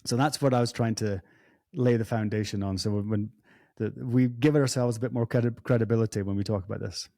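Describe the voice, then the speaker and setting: Man, podcast host, low mellow voice, dry close sound, hesitant. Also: fairly even intonation, quick pace, no room sound. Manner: hesitant, thinks aloud with many fillers. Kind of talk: casual conversation.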